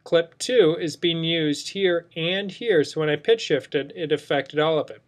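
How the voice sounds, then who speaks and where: A man narrating an unscripted screen tutorial as an instructor, explaining things step by step. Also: on the high side. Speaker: software instructor. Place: indoors, screen recording voice-over.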